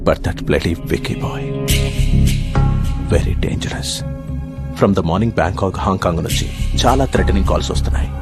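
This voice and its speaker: A man's voice: low-pitched, lively intonation, a little slow, pauses often, over loud music. An actor playing a dignified, earnest older businessman in a film drama, speaking formally and mixing in English phrases. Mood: earnest, concerned. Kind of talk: Telugu film drama acting. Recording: loud film score behind dialogue.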